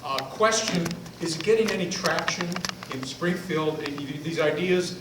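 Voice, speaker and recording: A man in the audience at a forum, asking a question in a halting way with restarts. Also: on the high side. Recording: echoey, distant room recording with noise.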